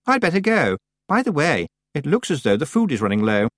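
A man's voice: on the high side, big pitch swings, fairly quick, dry recording, studio quality, voice pushed up. A man voicing a vain, pushy teenage girl witch in a storybook, with a haughty, emphatic manner. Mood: breezy, dismissive.

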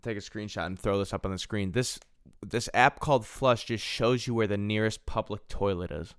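A young man in his twenties talks through a casual solo podcast, circling back over his own phrases and laughing at himself. His voice sits low and comes across smooth and resonant. The sound is close and dry, with no room echo. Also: normal speed. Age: 20s. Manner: rambling, self-deprecating, repeats and restarts phrases. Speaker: podcast host.

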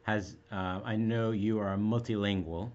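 A man's voice: on the low side, fairly even intonation, measured pace, a little mellow, dull audio. A man hosting a casual interview, asking questions and reacting with encouragement and laughter. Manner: upbeat, encouraging, laughs along.

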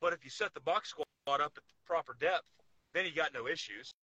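Male podcast co-host, casual but opinionated and emphatic, with a sharp, driving, raised-pitch voice. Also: a little fast, no echo, highs cut off. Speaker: co-host, powerlifting coach.

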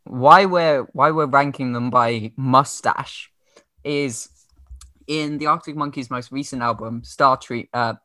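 A young man co-hosts a casual music podcast. His voice is bright and crisp-edged, recorded close and dry. He talks in a playful, jokey way, as if among friends. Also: mid-range voice, a little slow, no room sound. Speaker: podcast co-host. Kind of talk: casual chat about music albums.

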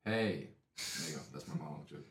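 Deep-voiced teasing young co-host. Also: male voice, quick pace, fairly bright tone. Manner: teasing, dry. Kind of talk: comic casual chat. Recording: echoey room, away from the mic.

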